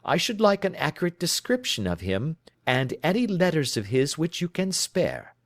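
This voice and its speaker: A man's voice: a little high, big pitch swings, normal speed, dry recording, studio quality, voice pushed up. A man playing a confident, commanding detective. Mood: authoritative. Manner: crisp, authoritative, reassuring. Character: brisk, self-assured Victorian male detective.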